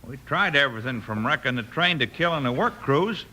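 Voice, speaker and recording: A man's voice: mid-range voice, expressive, brisk, sharp tone. An actor playing a dim, defensive western outlaw henchman in a radio drama, with rustic American slang. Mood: defensive, baffled. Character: whiny, dim-witted male outlaw henchman in the Old West. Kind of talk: 1950s western radio acting.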